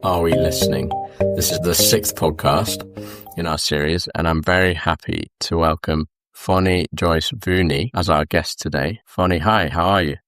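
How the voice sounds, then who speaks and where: A man hosting an interview podcast, friendly and slightly halting as he welcomes and prompts his guest. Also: low voice, steady tone, a bit bright, no room sound, faint music behind. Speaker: podcast host.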